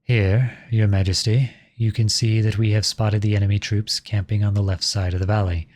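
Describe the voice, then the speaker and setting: Husky, low-voiced outlawed prince played by a man. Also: a little mellow, lightly acted. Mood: formal, restrained. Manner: earnest, pleading, dramatic delivery. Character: outlawed young prince turned soldier, impassioned, lovestruck, defiant. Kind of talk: scripted audio roleplay acting.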